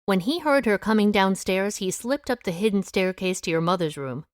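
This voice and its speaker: A woman's voice: mid-range voice, animated, moderate pace, dry recording, clean studio sound, lightly acted. An actress playing a shrewd, world-weary 1920s woman sleuth in an audio drama, knowing and matter-of-fact. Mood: shrewd, accusing. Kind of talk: audio drama dialogue and first-person narration.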